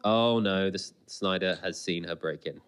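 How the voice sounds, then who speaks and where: A man co-hosting a podcast, giving casual, chatty reaction commentary in an excitable, exclamatory way, often repeating phrases. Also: deep voice, moderate pace, clear, resonant tone.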